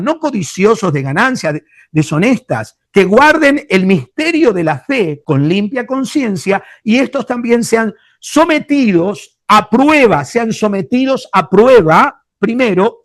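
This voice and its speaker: A man's voice: high-pitched, no room sound, studio quality. A man with a Rioplatense Spanish accent, a pastor giving an emphatic Bible teaching sermon with frequent hesitations. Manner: emphatic, addresses listeners fondly, frequent hesitations.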